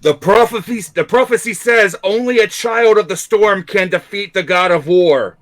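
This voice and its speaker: A man voicing an urgent adventurer who shouts out a prophecy in a tabletop game. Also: high voice, moderate pace. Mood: urgent shouting.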